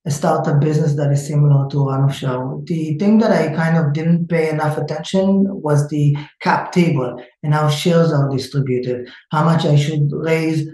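A man with an Israeli accent answers questions about his startup, pausing often on "uh" and "um" and restarting his sentences. His voice sounds dark and mellow, and it rings in a very echoey room. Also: fairly high pitch, fairly even intonation. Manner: hesitant, many fillers and restarts. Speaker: startup founder. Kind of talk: interview answers.

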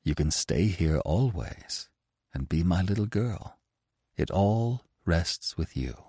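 A man reading the part of a stern, reserved male author who speaks curtly and in measured phrases. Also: deep voice, animated, mellow, slightly rough, no room sound, clean studio sound, exaggerated voice. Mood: tender. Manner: curt, measured.

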